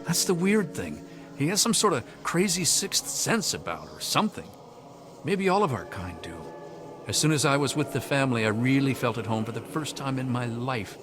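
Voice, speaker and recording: A man's voice: medium pitch, very animated, moderate pace, natural voice. An actor playing a troubled, earnest young man haunted by hunger, confiding hesitantly as video game dialogue. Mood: troubled, reflective. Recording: music behind the voice.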